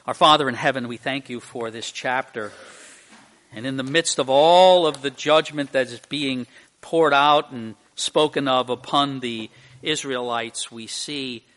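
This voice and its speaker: Guy preaching a sermon, medium pitched bright punchy voice, really earnest, leans hard on key phrases. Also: unhurried. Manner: earnest, emphatic, with repeated phrases and rhetorical questions. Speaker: pastor. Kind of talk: expository sermon with prayer.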